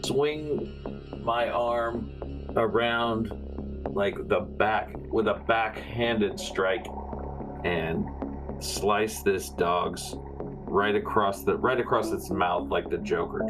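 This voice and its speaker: A man's voice: fairly low pitch, takes it slowly, music in the background, rough recording. A man talking casually as a player in a tabletop fantasy game.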